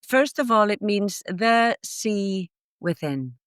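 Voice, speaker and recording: A woman's voice: mid-range voice, animated, takes it slowly, clean tone, dry recording, studio quality. A woman from Iceland whose first language is Icelandic, a guest author explaining ideas in a reflective, thoughtful way during a podcast interview.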